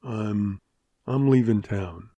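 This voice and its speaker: A man's voice: on the low side, takes it slowly, warm tone, no room sound. A man voicing a laconic, modest and stubborn young cowboy in an audiobook western. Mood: modest, resigned.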